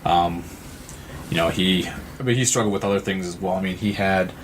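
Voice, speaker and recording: A man with a fairly low voice gives conversational interview answers. He starts, stops and repeats words as he works toward his point. Faint noise and room ambience sit behind him. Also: low-quality recording. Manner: halting, repeats words and restarts. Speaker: guest from a suicide-loss nonprofit.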